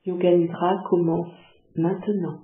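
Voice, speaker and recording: A woman leading a guided yoga relaxation, giving calm, measured instructions with long pauses. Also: lowish voice. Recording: muffled phone-like sound, echoey, music behind.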